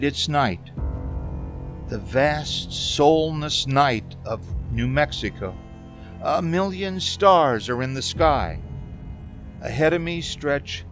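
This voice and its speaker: Man acting haunted, halting traveler with animated pitch. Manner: halting, stammering. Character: distraught, haunted male traveler on a lonely road. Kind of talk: radio theater acting.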